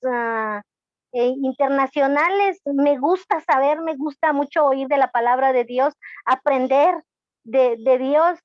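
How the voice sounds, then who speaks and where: A woman's voice: high voice, no echo. A woman from Guatemala with a Guatemalan accent, a church deacon giving a hesitant testimony with many fillers on an online call. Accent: Guatemalan Spanish. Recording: dull lo-fi call audio.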